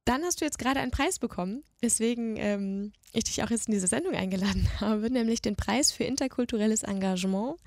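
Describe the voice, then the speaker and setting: A woman hosting a radio interview in a measured way, pausing mid-sentence as she phrases questions and summaries. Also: on the high side, dry recording.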